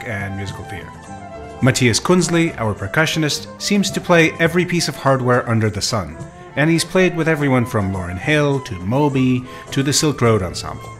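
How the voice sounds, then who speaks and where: Man narrating over loud music. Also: mid-range voice. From grew up in New York City. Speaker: bandleader and composer.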